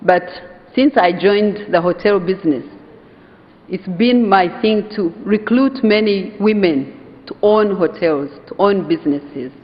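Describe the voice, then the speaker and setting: A woman from Uganda with a Ugandan accent giving an earnest, emphatic conference speech, slowing down to stress key phrases. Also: mid-range voice. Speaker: conference speaker, hotel owners' association vice chair. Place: conference hall. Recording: dull sound in a reverberant hall.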